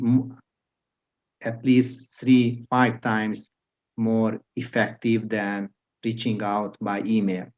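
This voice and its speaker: A man from Hungary with a Hungarian accent, hosting a podcast interview in casual chat and speaking in halting phrases with frequent restarts. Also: lowish voice. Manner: halting, restarts words, laughs softly. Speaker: podcast host. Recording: dull lo-fi audio.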